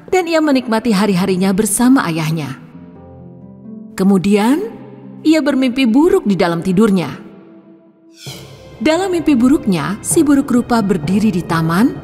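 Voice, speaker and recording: A woman's voice: mid-range voice, darkish tone, long reverb tail. A woman narrating a scripted fairy tale for children as storyteller.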